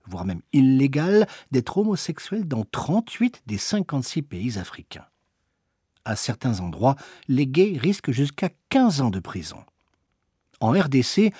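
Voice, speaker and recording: A man's voice: medium pitch, warm tone, husky, no room sound, clean studio sound. A man narrating a reportage from a prepared script. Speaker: documentary narrator.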